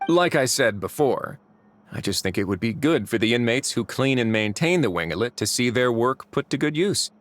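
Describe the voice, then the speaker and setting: An actor voicing a composed duke who runs a prison fortress, speaking in a calm, measured and courteous way. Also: male voice, medium pitch, close to an everyday voice. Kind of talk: video game voice acting. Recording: soft game music behind.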